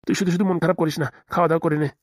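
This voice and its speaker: A woman's voice: fairly low pitch, fairly even intonation, very fast, darkish tone, breathy edge, no echo, clean studio sound. A woman voicing a village father in a cartoon comedy, soothing a grown daughter in folksy, colloquial speech. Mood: reassuring.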